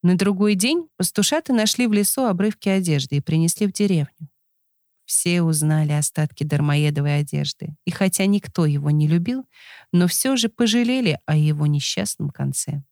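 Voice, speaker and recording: A woman reading a scripted folk tale as an expressive storyteller. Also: fairly low pitch, normal speed, no echo, clean studio sound.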